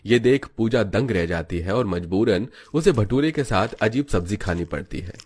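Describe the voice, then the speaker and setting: Low-pitched male story narrator. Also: lively intonation, normal speed, darkish tone, husky.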